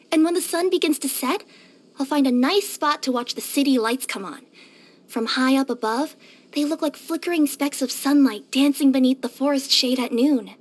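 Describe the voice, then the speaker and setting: A woman voicing a cheerful, curious young female cat yokai in a video game, with a light girlish voice and an expressive, chatty delivery. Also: high-pitched, close to an everyday voice. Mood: wistful, fond. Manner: expressive, eager, chatty, wistful at times. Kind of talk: scripted game character voice-over.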